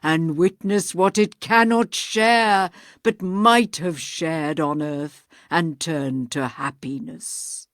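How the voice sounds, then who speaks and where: A woman voicing a doomed, chained male ghost who speaks slowly and gravely in a mournful lament. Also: no echo, clean studio sound. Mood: solemn. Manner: slow, grave, booming lament. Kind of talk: storybook reading.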